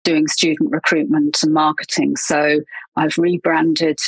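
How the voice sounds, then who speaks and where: Husky English woman, chatty guest. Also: sits low, level tone, measured pace, dry recording, low-quality recording. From England. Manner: chatty, laughs often, trails off with fillers and restarts. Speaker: podcast guest, marketing consultant. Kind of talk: casual interview chat.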